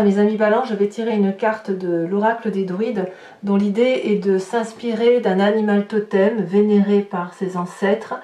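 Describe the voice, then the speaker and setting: A woman's voice: medium pitch, warm tone. Woman in an echoey room, distant mic. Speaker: tarot reader presenting horoscope readings. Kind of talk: informal spoken tarot reading addressed to viewers. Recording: roomy echo, distant mic, faint noise.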